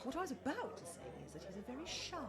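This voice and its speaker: An actress playing a breezy, genteel agency boss in a radio comedy play, with a gushing, theatrical manner. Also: female voice, sits high, big pitch swings, racing, a crowd in the background. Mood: coy, defensive. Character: breezy, genteel woman agency boss, smitten and self-justifying.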